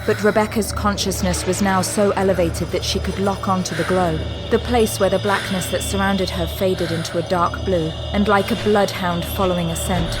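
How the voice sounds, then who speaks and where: A woman's voice: on the low side, poor audio. A woman reading scripted, dramatic narration for a sci-fi audio drama. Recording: loud music under narration.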